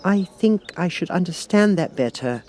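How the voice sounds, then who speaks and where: A man's voice: high voice, lively intonation, moderate pace, a little mellow, voice pushed up. A man voicing a polite, curious young girl for an audiobook, in an earnest, musing, slightly hesitant manner. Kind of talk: audiobook character voice.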